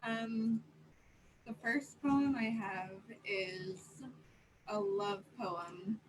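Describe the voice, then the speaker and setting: A woman reading her poetry aloud over a video call, soft and unhurried. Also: medium pitch. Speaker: poet. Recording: noisy video call audio.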